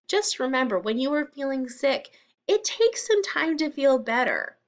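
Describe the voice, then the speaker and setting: A woman reading a children's story aloud like a teacher, speaking expressively and explaining things simply to young listeners. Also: fairly high pitch.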